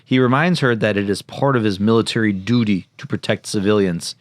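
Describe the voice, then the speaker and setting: A man's voice: lowish voice, a little fast, dry recording, clean studio sound. A man co-hosting a podcast, reading out a plot summary and dropping in joking asides. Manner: jokey, interjects and riffs. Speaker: podcast co-host.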